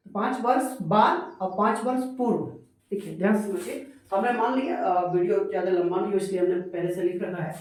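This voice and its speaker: A man's voice: high voice, a little mellow. A man teaching a maths class, working through a problem step by step and asking questions that he answers himself. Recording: echoey room, voice far from the mic.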